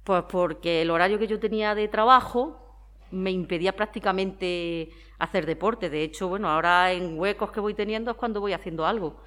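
A woman's voice: medium pitch, normal speed, fairly bright tone. A woman in her forties with an accent from Spain, talkatively answering interview questions in a conversational way, explaining with examples. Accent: Spanish (Spain). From Madrid, Spain. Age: 40s. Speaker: interviewee, former athlete and town sports councillor.

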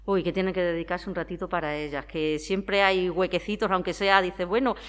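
Lower-voiced Spanish woman in her forties. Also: fairly even intonation, a little fast. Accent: Spanish (Spain). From Madrid, Spain. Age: 40s. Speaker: interviewee, former athlete and town sports councillor. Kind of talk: conversational interview answers.

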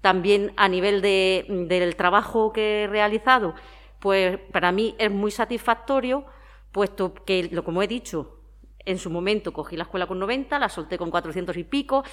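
A woman's voice: mid-range voice, normal speed, fairly bright tone. A woman in her forties with an accent from Spain, talkatively answering interview questions in a conversational way, explaining with examples. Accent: Spanish (Spain). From Madrid, Spain. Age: 40s. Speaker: interviewee, former athlete and town sports councillor.

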